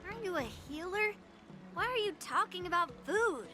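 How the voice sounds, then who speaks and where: A woman's voice: very high-pitched, expressive, normal speed, pushed and bright, heavily acted. An actress playing a blunt, suspicious young female sword teacher in a video game. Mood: grumbling. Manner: blunt, challenging. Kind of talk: scripted game dialogue. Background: game music.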